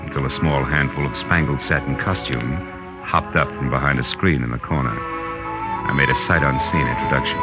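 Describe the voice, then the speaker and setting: Low-voiced hard-boiled American radio detective. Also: male voice. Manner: wry, clipped, slangy. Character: hard-boiled, sardonic, weary male private detective. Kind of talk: 1940s radio detective acting, with first-person narration. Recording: muffled vintage radio recording with music.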